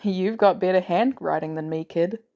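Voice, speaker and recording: A woman's voice: lowish voice, normal speed, warm tone, natural voice. A woman voicing a confident, fond, inquisitive man with casual, clipped lines in a story reading.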